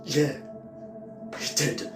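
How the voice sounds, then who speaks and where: A man acts out a wounded, grieving survivor of a zombie apocalypse in an audio drama. He speaks slowly and haltingly, breathy and apologetic, in an echoey space with music behind him. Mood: grim, halting. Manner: halting, breathy, pleading delivery.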